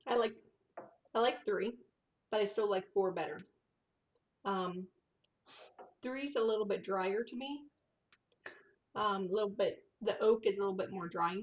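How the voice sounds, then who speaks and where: A woman co-hosting a whiskey tasting livestream, talking softly and hesitantly with many fillers, picked up by a microphone above her. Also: medium pitch, measured pace, pauses often. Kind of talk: casual tasting chat. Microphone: overhead microphone above the table. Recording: dull lo-fi overhead mic.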